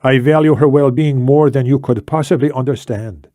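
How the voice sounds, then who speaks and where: A man voicing an older, shrewd and commanding Spanish ex-intelligence officer in formal, deliberate audiobook dialogue. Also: mid-range voice, dark tone, no room sound, pitched above the performer's own voice, lightly acted. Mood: insistent, intense.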